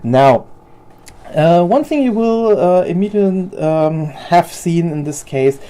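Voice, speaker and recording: A woman's voice: lowish voice, expressive, unhurried, dark tone. A young woman whose first language is German, hosting a casual show-and-tell about her collection in a hesitant way, with many fillers and restarts. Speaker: figure collector video host.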